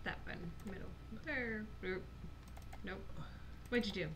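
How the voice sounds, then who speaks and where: A woman's voice: medium pitch, measured pace. A woman in her thirties from Michigan co-hosting a casual live stream, telling stories with a lot of laughter. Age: 30s. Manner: animated, laughing storyteller. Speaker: livestream co-host.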